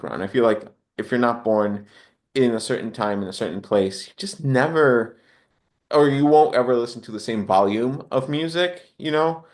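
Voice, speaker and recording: A man from Honduras chatting casually as a podcast guest, in a reflective, rambling way with hesitant phrasing. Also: fairly low pitch. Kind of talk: casual interview chat.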